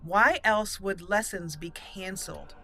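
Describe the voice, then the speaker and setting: A woman hosting a roleplay game playthrough, commenting and reading aloud deliberately as she thinks. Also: medium pitch, bright, forceful tone. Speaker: let's play host. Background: game music.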